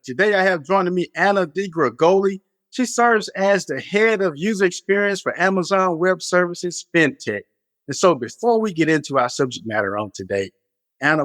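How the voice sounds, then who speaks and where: Male podcast host, announcer-style intro, raised pitch, dry close mic. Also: fairly bright tone, no room sound. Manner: upbeat announcer delivery in the intro. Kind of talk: scripted podcast intro and interview questions.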